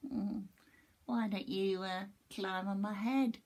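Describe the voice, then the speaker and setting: A woman voicing a sly, smooth-talking male fox in a children's story, speaking softly and persuasively. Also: medium pitch, steady tone, slow. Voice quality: soft, coaxing, sweetly persuasive.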